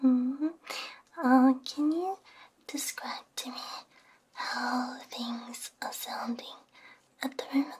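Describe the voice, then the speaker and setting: A young woman performing a gentle, soothing ASMR roleplay in a hushed whisper with soft hums, close on a binaural microphone. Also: high-pitched, fairly even intonation, slow, long pauses, slight background noise.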